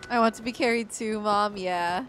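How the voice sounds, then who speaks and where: A young woman from the Philippines giving casual, slangy, expressive reaction commentary over a video game. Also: medium pitch, faint music behind. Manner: expressive, slangy, drawn-out exclamations. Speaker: gameplay YouTuber.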